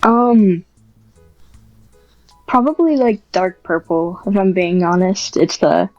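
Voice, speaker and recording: A woman chats casually as an interview guest, modest and hesitant. Her delivery is very unhurried. The sound is close and dry but a little dull, with faint music underneath. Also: fairly low pitch, no room sound. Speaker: podcast guest, script writer.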